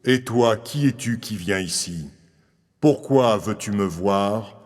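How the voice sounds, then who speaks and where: A fearsome, pompous cartoon wizard, drenched in long reverb. The voice is low and very slow, weighing each word with grandeur. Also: male voice, a little mellow. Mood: imposing. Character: mighty, fearsome wizard, a giant floating head. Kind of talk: cartoon storybook acting. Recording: long hall reverb, music underneath.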